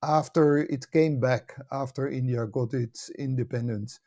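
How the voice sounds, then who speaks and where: A middle-aged or older man from the Netherlands with a Dutch accent, explaining things in a measured, conversational way as an interview guest. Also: mid-range voice, steady tone, dark tone. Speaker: podcast guest, academy director and author.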